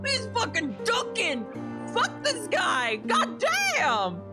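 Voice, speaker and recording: A woman streamer reacting live to a game, excitable and foul-mouthed, repeating her exclamations. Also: very high voice, takes it slowly, loud music behind the voice.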